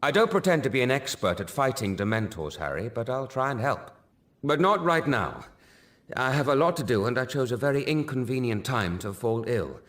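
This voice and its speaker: A man's voice: mid-range voice, sing-song, moderate pace, a bit bright. A man playing a modest, helpful, ailing wizard teacher in a video game, speaking politely and apologetically. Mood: weary, reassuring.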